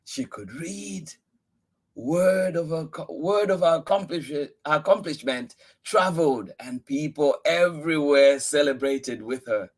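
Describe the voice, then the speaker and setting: Male story-time host, unhurried delivery, reading a picture book with dramatic, expressive emphasis. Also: fairly high pitch. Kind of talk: expressive read-aloud storytelling.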